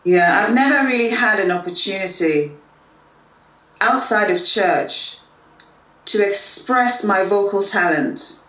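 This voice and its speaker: A woman's voice: mid-range voice, takes it slowly. A woman, a singer, chatting casually in an interview and often tagging on "aren't you?" or "wasn't it?". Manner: relaxed, chatty, tags questions. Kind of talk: casual chat. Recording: roomy, distant mic, faint noise.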